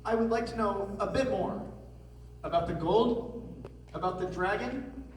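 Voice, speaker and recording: A man playing a timid, excitable, well-mannered hobbit in a flustered, emphatic readers theatre performance. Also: high-pitched, slight background noise. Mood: hesitant. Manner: exclaiming, flustered, slow and emphatic. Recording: distant mic in echoey hall.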